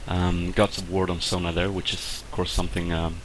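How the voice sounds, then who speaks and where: A man's voice: low voice, little pitch movement, a little fast, rough recording. A young Swedish man, not a native English speaker, doing casual video game commentary with a Swedish accent, hesitant and full of fillers. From Sweden. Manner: hesitant, lots of fillers and restarts. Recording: clear background noise with clicks.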